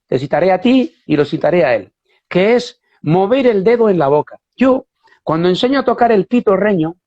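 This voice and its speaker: A man's voice: high voice, darkish tone. Emphatic man hosting an interview. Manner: enthusiastic, emphatic and repetitive. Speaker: host and whistling teacher. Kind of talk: casual interview over a live video stream. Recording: lo-fi online video call audio.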